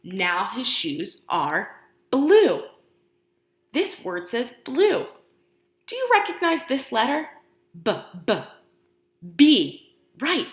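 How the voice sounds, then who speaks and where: A woman reads a picture book to small children as their teacher, in an unhurried, lively, swooping voice. She puts questions to the listeners and waits for replies, exaggerating her words to encourage them. The room adds a clear echo. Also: on the high side. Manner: expressive, asking questions and pausing for answers; exaggerated, encouraging talk aimed at young children. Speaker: pre-K teacher reading aloud to children. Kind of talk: interactive children's picture book read-aloud.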